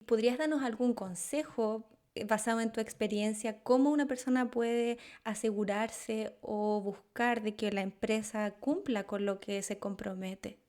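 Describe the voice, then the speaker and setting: A woman's voice: fairly high pitch, fairly even intonation, a little slow, darkish tone. A woman interviewing a guest in a conversational style, putting questions as the host.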